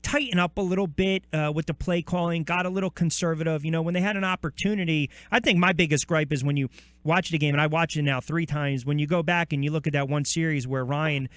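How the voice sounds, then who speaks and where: A man's voice: a little high, steady tone, fast, a bit bright, dry recording. A man with an American accent hosting a sports radio interview, chatty, with long rambling questions that restart midway.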